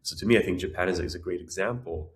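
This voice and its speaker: A man who grew up in Ukraine and Israel speaking Russian and Ukrainian, giving measured, conversational explanations as an interview guest. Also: sits low. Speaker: podcast guest, entrepreneur.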